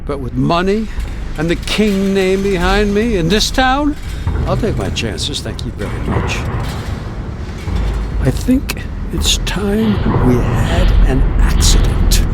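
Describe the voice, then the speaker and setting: Menacing rich man, swooping high-pitched voice. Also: big pitch swings, slow, over loud music, full character voice. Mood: cold, threatening. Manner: taunting, theatrical delivery. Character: menacing, jealous wealthy man with a murderous streak. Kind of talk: audio drama acting.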